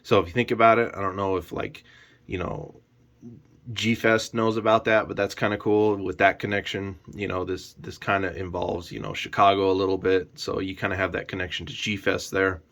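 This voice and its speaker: Low-voiced man giving a casual rambling review. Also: level tone, moderate pace, a bit bright. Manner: rambling, hedging with frequent "you know". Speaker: YouTube collector reviewer. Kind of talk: casual unscripted review vlog.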